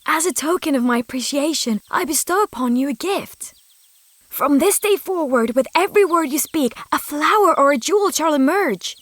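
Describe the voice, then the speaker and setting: A woman's voice: high voice, lively intonation, normal speed, a bit bright, dry recording. Woman playing a solemn, kindly fairy crone. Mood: gracious. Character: kind fairy disguised as an old woman, solemn. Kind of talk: fairy tale character acting.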